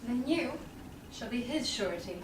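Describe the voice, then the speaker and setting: A woman's voice: a little high, lively intonation, normal speed, close to an everyday voice. A young woman playing a witty, self-possessed noble lady in a Shakespeare staged reading, projecting from a theatre stage. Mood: poised, knowing. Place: small theatre stage with audience. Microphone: far camera in the audience. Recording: roomy, distant, noisy theatre recording.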